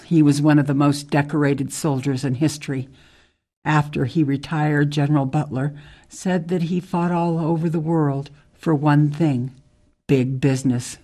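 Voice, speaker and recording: A woman playing a tough, outspoken ex-military forest ranger in a radio drama, speaking in a measured, emphatic way. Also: deep voice, steady tone, mellow. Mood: grave, critical.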